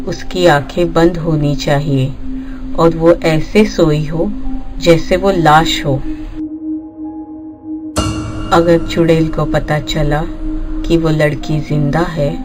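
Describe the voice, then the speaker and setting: A woman narrating a scripted horror story in short, measured phrases with pauses between them. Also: sits low, little pitch movement, music in the background.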